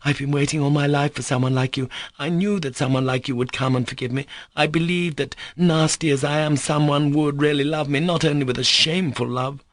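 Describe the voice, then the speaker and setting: A man's voice: a little high, fairly even intonation, a little fast, hardly any pauses, no echo, voice pushed up, lightly acted. A man reading a classic novel aloud, voicing a passionate, volatile young woman with fierce, hysterical outbursts. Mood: pleading. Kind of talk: audiobook character reading.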